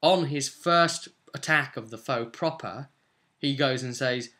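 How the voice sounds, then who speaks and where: Casting: British male, twenties, bright forceful voice, mid pitch, chatty rambling game-master explainer with emphatic bursts. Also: measured pace. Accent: British English. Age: 20s. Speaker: game master, video host. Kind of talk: casual explanatory vlog about tabletop role-play. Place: small home room, indoors.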